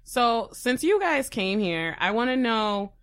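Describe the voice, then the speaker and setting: A woman in a casual chat, animated and asking the others questions. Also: fairly high pitch, a little slow.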